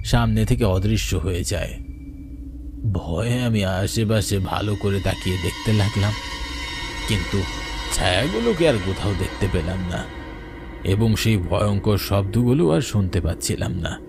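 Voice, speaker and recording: A man's voice: lowish voice, expressive, moderate pace, music in the background. A man narrating a ghost story in the first person, in a dramatic storytelling style. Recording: night crickets and insects behind.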